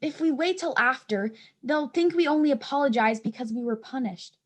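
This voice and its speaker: Woman playing a high-voiced, fretful schoolgirl. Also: moderate pace. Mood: dramatic, restless. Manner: fretful, dramatic. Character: anxious, dramatic schoolgirl dreading punishment. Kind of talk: staged play reading.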